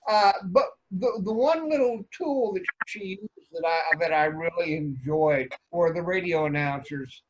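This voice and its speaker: A man giving casual feedback on a video call, speaking haltingly and repeating words as he goes. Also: fairly high pitch, slight background noise. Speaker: table read participant giving feedback. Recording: dull video-call audio.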